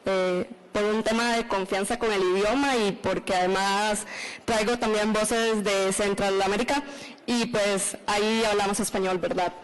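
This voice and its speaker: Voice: medium pitch, steady tone, moderate pace, low-quality recording. A young activist in their twenties with a Costa Rican accent, giving a fluent panel introduction with "eh" fillers. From Costa Rica. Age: 20s. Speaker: youth climate activist panelist.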